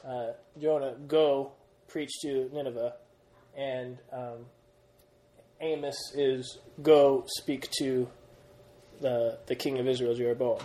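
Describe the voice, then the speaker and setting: A young man leading a Bible study class, energetic, quizzing the group and often restarting his sentences. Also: mid-range voice, slow pace, rough recording. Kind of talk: classroom Bible lesson with questions.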